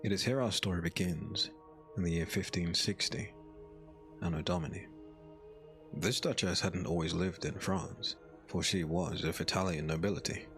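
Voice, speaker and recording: A man narrating a scripted dark fairy tale as a storyteller, in a measured, solemn manner. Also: sits low, faint music behind.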